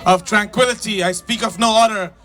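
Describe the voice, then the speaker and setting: A man's voice: sits high, fairly even intonation, fairly quick, fairly bright tone. A man acting as emcee, introducing the next speaker and urging the crowd to cheer. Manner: hyping the crowd. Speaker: rally emcee. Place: outdoor rally. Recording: noisy outdoor crowd.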